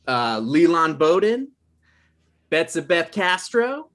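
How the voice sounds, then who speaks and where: A man's voice: a little high, very animated, slow, fairly bright tone. A man in his thirties giving an informal introduction as host on a video call. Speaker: host and writer introducing the cast. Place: indoor room, video call.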